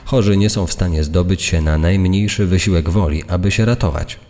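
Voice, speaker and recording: Very deep, mellow man in long reverb. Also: brisk. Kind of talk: scripted reading of quoted accounts.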